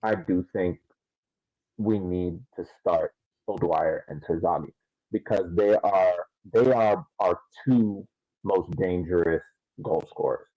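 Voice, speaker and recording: A man co-hosting a podcast, giving casual soccer analysis in a halting way and repeating words as he thinks. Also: low voice, warm tone, dry recording, dull audio. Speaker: podcast co-host.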